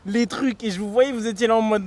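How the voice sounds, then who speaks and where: High-voiced young interviewer, joking. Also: male voice, fast talker, no echo. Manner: relaxed, teasing, joking. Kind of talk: casual street slang chat.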